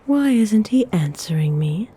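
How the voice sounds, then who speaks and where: A woman playing a refined, world-weary vampire noblewoman in an ASMR roleplay, speaking formally and unhurriedly in a soft, often whispered voice. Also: medium pitch, animated, measured pace, slightly rough. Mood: calmly commanding.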